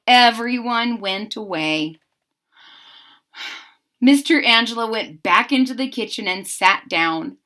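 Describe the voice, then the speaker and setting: A woman reading a children's picture book aloud, expressive, adding chatty asides for young listeners. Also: on the high side, slow pace, long pauses. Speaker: storybook reader.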